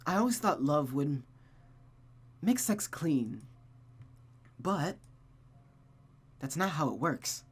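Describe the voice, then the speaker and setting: A woman voicing a gentle female friend in a visual novel, speaking tenderly and frankly in a measured way. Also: deep voice, big pitch swings, slightly rough, full character voice.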